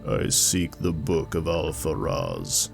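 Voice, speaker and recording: A man's voice: extremely low pitch, sing-song, slow pace, gravelly, soft background music, full character voice. A man voicing a tall, scarred warrior who speaks curtly and coldly. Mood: grim. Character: tall scarred male warrior, grim and terse.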